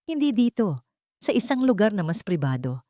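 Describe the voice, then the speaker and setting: A woman's voice: mid-range voice, sing-song, normal speed, warm tone, dry recording, studio quality, pitched above the performer's own voice, lightly acted. A woman voicing an insistent, businesslike male CEO in a romance audio story, urgent yet controlled. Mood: insistent pleading.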